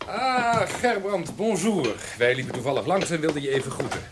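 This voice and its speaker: An actor playing a young well-born gentleman in a Dutch historical radio drama, sociable and frank, chiding a friend. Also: fairly high pitch, expressive, moderate pace, background noise, close to an everyday voice. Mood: courteous, breezy.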